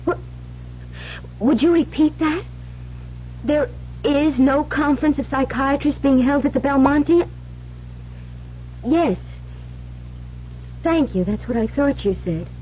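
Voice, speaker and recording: Over a dull, faintly noisy old radio recording comes a young bride with a high voice. She is frightened and wary yet resolved to escape, played in old-time radio drama style.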